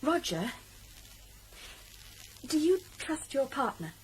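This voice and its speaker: Outspoken british probation officer woman, radio drama, brisk n direct, highish voice w loads of movement. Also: big pitch swings, slight background noise, heavily acted, poor audio. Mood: probing.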